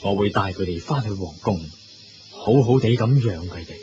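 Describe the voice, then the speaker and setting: Man acting as a low-voiced, solemn, compassionate king. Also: lively intonation, moderate pace, over music, lightly acted. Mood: tender, solemn. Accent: Hong Kong Cantonese. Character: remorseful, compassionate king out hunting deer. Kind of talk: Cantonese Buddhist radio drama acting. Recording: dull lo-fi recording with faint noise.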